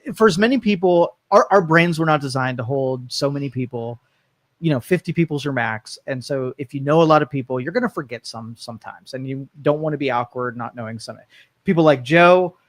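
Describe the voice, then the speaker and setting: A man's voice: mid-range voice, a little fast, dry recording. A man with an Ohio accent hosting a local talk podcast, talking casually in a rambling way. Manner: easygoing, rambling, with fillers and restarts. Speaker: podcast host. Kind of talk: casual chat.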